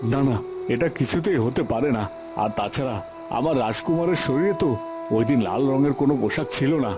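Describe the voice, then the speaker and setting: An anxious, doting king from a fairy-tale cartoon, acted by a man, speaks with wide swings of pitch. He is emotive and repeats himself in agitation, with faint music underneath. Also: expressive, a little fast, close to an everyday voice. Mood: distraught. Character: anxious, doting king grieving his missing son. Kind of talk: Bengali fairy-tale cartoon dramatic acting.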